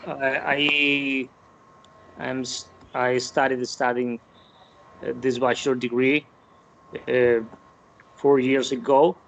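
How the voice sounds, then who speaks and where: A man in his fifties with a Colombian Spanish accent, introducing himself to his class over a video call, halting and repeating words. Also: medium pitch, level tone, a little hiss or hum. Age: 50s. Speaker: student. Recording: muffled call audio.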